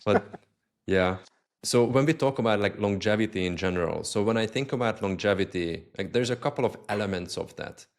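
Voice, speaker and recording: Low-voiced host. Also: male voice, brisk. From Hungary. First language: Hungarian. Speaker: podcast host. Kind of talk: casual interview questions.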